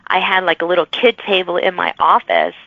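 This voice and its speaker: Middle-aged lady podcast host, chatty, laughs a lot, kinda low voice, dry sound. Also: sing-song, fairly quick, no room sound. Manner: chatty, laughs often, uses many fillers. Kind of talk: casual interview chat. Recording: dull lo-fi remote recording, dry.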